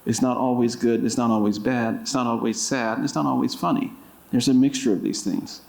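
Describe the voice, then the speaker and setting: A man giving deliberate, thoughtful interview answers as an author at an indoor public event. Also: mid-range voice, fairly even intonation, creaky, darkish tone. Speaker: author and creative writing professor being interviewed. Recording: roomy, echoing library event sound.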